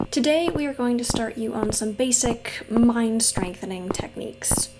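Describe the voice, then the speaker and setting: A woman playing a friendly, patient mentor in a roleplay audio drama, speaking to the listener in a gentle, reassuring, casually instructive way. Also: fairly high pitch, heavy background noise, close to an everyday voice. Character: friendly female mentor with powers, patient and encouraging trainer.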